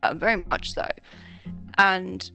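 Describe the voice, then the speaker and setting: A woman's voice: lowish voice, slow pace, gravelly, bright, forceful tone, faint music behind, poor audio. A young woman chatting casually, telling stories with swearing and nervous laughs. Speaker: voice actress guest. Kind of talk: casual chat.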